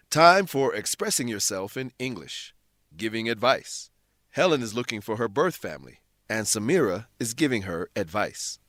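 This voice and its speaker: A man's voice: lowish voice, a bit bright, no echo, clean studio sound. A man giving scripted educational narration as a host and English teacher, in a didactic, carefully enunciated manner.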